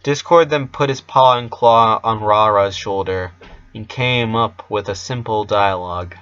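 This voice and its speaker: A man reading a scripted story as an amateur narrator, halting and stumbling a little over the text. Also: on the low side, a bit bright, clean tone, lo-fi sound.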